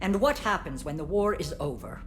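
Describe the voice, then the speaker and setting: A woman's voice: deep voice, expressive, moderate pace, slight background noise. An actress playing a stern, obstinate female alien politician in a video game, speaking with cold, clipped haughtiness. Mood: cold refusal.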